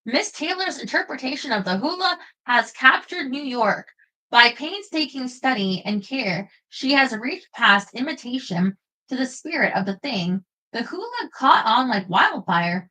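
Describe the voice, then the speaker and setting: A woman reads a biography aloud, taking her time and giving the lines expression. Her voice sits a little high and has a bright, forward edge that keeps the narration clear. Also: very animated, measured pace, poor audio.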